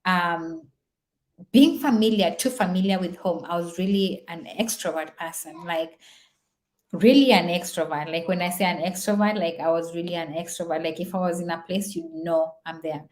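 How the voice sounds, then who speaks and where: A woman's voice: on the low side, steady tone, moderate pace, darkish tone. A young woman whose first language is Swahili, talking in a casual, reflective vlog, chatty and full of fillers and repeats. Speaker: vlogger.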